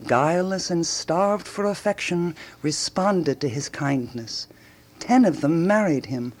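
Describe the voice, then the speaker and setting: An eager woman sleuth of 1920s Paris, sure of herself and fond of declaiming. Her delivery swings widely in pitch, with a theatrical radio drama emphasis. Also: warm tone. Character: eager, self-assured woman amateur detective in 1920s Paris.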